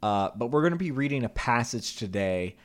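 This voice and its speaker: A man's voice: mid-range voice, expressive, a little fast. A man co-hosting a casual podcast, introducing the topic and teasing his younger brother. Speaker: podcast co-host. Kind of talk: casual comedic chat.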